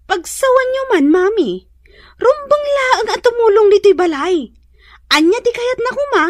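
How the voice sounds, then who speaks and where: A woman's voice: very high voice, very animated, unhurried, no room sound, clean studio sound, heavily acted. A woman with an Ilocano accent acting in a radio drama as a bossy, spiteful young woman, haughty and sneering. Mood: haughty, demanding. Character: bossy, spiteful young woman ordering her in-law around.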